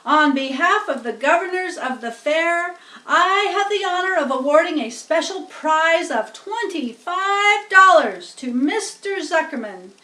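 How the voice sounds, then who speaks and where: A woman plays a pompous storybook fair announcer, proclaiming loudly and slowly at a raised pitch. The delivery is grand and declamatory, and the sound is roomy and echoing. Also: animated, distant mic, exaggerated voice. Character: booming, pompous male fairground loudspeaker announcer.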